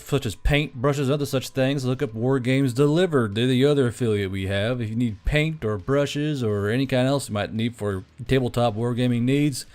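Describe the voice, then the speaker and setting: A man's voice: mid-range voice, a little mellow. A man giving a casual, rambling channel introduction as host, folksy and freely swearing.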